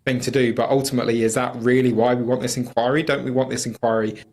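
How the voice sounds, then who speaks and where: A man's voice: mid-range voice, little pitch movement, fast, a little mellow. A British man speaking as a campaigner in a political interview, arguing fluently, critically and with emphasis. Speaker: campaigner, studio guest.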